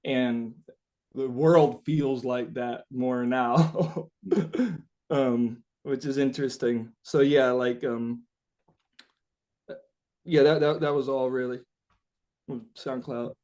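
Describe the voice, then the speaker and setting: A man being interviewed as a musician, talking casually and haltingly as he searches for the right words. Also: medium pitch, fairly even intonation, darkish tone.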